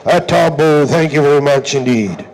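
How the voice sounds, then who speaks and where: A man's voice: on the high side, normal speed, creaky, a little mellow, low-quality recording. A South African man working as a livestock auctioneer, with rhythmic, repetitive chant and showy, emphatic sales patter.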